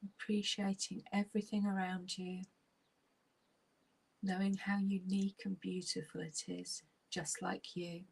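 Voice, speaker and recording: Very breathy, slow-speaking woman guiding meditation. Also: medium pitch, little pitch movement, rough recording. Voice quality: breathy, husky, often near a whisper. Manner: soft, soothing, unhurried, with long pauses. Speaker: guided meditation narrator. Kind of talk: guided relaxation meditation.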